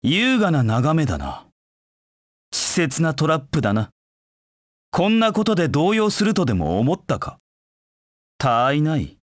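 An actor voicing a proud, cold dark knight in a video game, with curt, haughty, disdainful delivery. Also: male voice, a little high, wildly swooping pitch, a little slow, long pauses, dry recording, clean studio sound. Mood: forceful, challenging. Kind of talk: video game voice acting in short battle lines.

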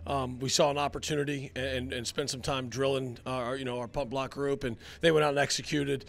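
A middle-aged man, a head football coach, answering postgame questions in a halting way with many fillers, into a handheld mic indoors. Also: medium pitch, level tone, gravelly. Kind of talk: postgame interview. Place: indoor locker room area. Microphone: handheld mic held by interviewer.

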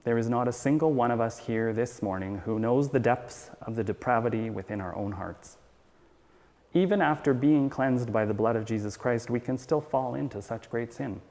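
A middle-aged man reads a sermon aloud from the pulpit. His delivery is measured and earnest, clearly following a script. The sound carries the long reverb of a church hall. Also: mid-range voice, darkish tone. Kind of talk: read sermon. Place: church sanctuary at a wooden pulpit, indoors.

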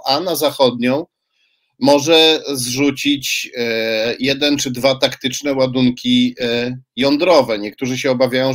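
A middle-aged man putting a long interview question on a video call, halting and repeating words as he goes. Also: medium pitch. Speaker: interviewer, journalist.

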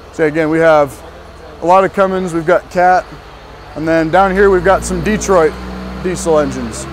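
Young man with a higher voice. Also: measured pace, long pauses, a little hiss or hum. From northern Colorado. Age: young adult. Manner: casual, hesitant with frequent ums and restarts. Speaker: student giving a shop tour. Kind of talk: walking tour guide talk. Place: large indoor diesel workshop.

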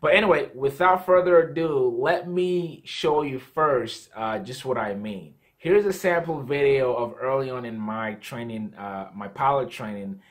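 Young man explaining casually to camera. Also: mid-range voice. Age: young adult. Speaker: host, pilot. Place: small indoor room at home.

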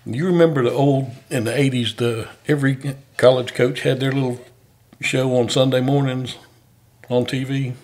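Husky-voiced Georgia comedian hosting, joking and easygoing, a bit of fry. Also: male voice, medium pitch, fairly even intonation, moderate pace, a little mellow. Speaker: comedian host. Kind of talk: casual chat.

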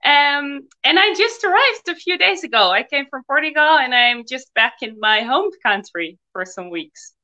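A Dutch woman speaks in a high, bright voice, chatting openly about herself. The sound is a little muffled and dull. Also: big pitch swings, moderate pace, few pauses. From Netherlands. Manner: chatty and open, with fillers. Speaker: guest. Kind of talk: interview answers. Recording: slightly muffled audio.

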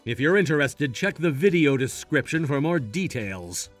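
An earnest young gangster from an anime cartoon. The voice sits a little high and moves freely up and down. He sounds loyal and keen as he addresses viewers, over light music. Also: big pitch swings, normal speed. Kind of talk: anime voice acting, presenting to viewers.

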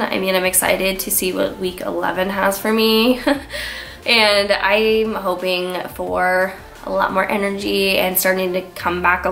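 A woman's voice: medium pitch, creaky, a bit bright. Congested young woman chatting to camera. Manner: chatty, lots of filler "like". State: congested, stuffy nose. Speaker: vlogger. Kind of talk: casual chat to camera. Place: small room indoors. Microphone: camera on a tripod, framed from the waist up.

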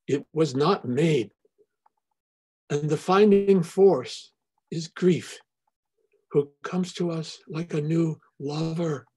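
Older man, mellow voice, halting intros. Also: fairly high pitch, breathy edge. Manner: halting, with restarts and fillers. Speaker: poetry reading emcee. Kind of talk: reading poetry and introducing poets. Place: home study with bookshelves. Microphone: laptop webcam on video call.

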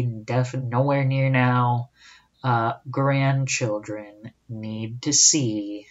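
A man's voice: mid-range voice, dull audio. A young man hosting a solo podcast from a small room at home, talking casually in a rambling way, with frequent fillers and restarts. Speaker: podcast host.

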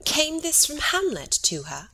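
Woman acting a bright-voiced, deliberate queen. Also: sits high, wildly swooping pitch, no echo, exaggerated voice. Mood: concerned, direct. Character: regal queen, concerned and direct mother. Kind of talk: classical Shakespearean stage acting, dramatic reading.

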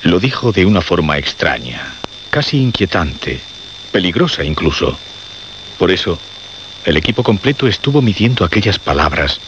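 A man with a Castilian Spanish accent narrating a suspense radio drama solemnly and deliberately, in short phrases. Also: sits low, background noise. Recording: muffled radio recording.

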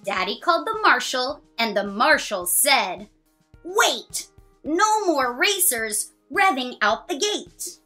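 A woman in her thirties reading a children's picture book aloud, expressive and sing-song, with drawn-out lines and counting along. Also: high-pitched, soft background music.

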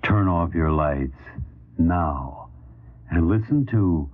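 An actor playing an ominous horror show announcer, intoning drawn-out phrases in 1940s radio style. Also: male voice, deep voice, expressive, heavily acted. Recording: dull 1940s radio recording.

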